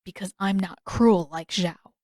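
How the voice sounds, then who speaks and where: A woman's voice: mid-range voice, a little slow, mellow, no room sound, clean studio sound, natural voice. A woman voicing a proud, hot-tempered teenage prince in an audio story, curt and defensive. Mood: angry, defensive.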